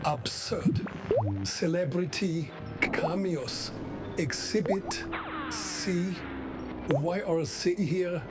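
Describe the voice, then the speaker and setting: A woman's voice: on the low side, very slow, long pauses. A woman narrating a radio play in clipped, portentous, scripted announcements. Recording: loud music behind the voice.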